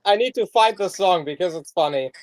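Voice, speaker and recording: High-voiced joking male streamer. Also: animated, brisk. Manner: joking, rambling with fillers. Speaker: livestream host. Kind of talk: casual livestream chat.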